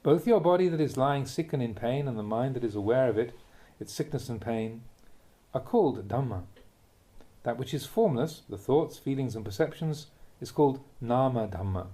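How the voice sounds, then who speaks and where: A man with a mellow, soft-edged voice reads a spiritual teaching aloud as a monk would. His phrasing is measured and deliberate, in short clauses, and his mid-pitched voice rises and falls expressively. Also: animated. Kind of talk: read-aloud dharma talk, audiobook narration.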